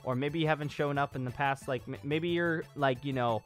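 A man's voice: medium pitch, a little fast. A man in his twenties chatting casually and informally in a rambling channel update, as a gaming YouTuber. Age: 20s. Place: small home studio room with acoustic foam. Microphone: desk microphone on boom arm. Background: clear background music.

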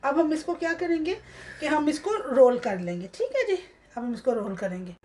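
A woman's voice: high-pitched, expressive, moderate pace, a little mellow, a little hiss or hum. A woman from Pakistan in her thirties hosting a home cooking video, talking casually and mixing English words into her speech with frequent check-ins to viewers. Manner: chatty, mixes English into Urdu, frequent "theek hai ji" check-ins. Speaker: cooking channel host. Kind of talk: cooking tutorial voice-over. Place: home kitchen.

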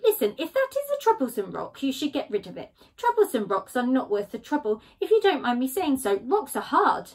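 A woman's voice: sits high, sing-song, brisk, few pauses, breathy edge, heavily acted. A woman voicing an earnest, fussy male skunk who chatters anxiously. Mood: chatty. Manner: worried, chattering, insistent.

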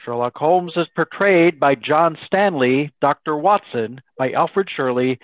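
A man's voice: a little high, expressive, no room sound. A man playing a courteous, formal radio announcer in a 1930s-style radio drama, over a video call. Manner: formal, measured announcer delivery. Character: courteous male radio announcer, Mr. Bell, visiting Watson. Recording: thin phone-like video call sound.

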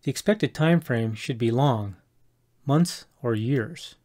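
Measured man narrating a DIY build. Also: medium pitch, animated, darkish tone. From Colorado, USA. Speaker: homeowner explaining a DIY project. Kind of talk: scripted voice-over narration.

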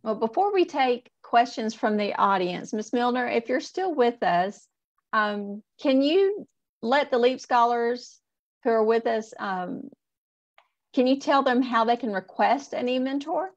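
A woman with a fairly high voice hosts an interview over a video call and asks her questions in a measured, polite way. Her audio comes through dull and lo-fi. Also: expressive. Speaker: interview host.

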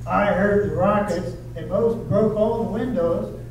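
A man's voice: high voice, steady tone, a little slow, a little mellow, a little hiss or hum. A man voicing a plain-spoken, deferential local man in a 1930s-style radio drama. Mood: matter-of-fact. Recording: dull echoey recording from far off.

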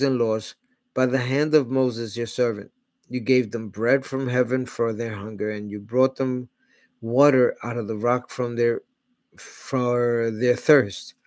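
A middle-aged man reading scripture aloud in a small home studio on a desk microphone, steady, and correcting himself on hard names. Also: medium pitch, no room sound. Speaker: Bible reader. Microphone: large studio mic on a desk arm.

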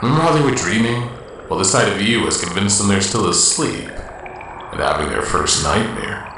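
A man playing a brisk, teasing superhero in a comic-book drama with a dry manner. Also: fairly low pitch, expressive, creaky. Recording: very echoey, noisy, loud music. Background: loud music and noise.

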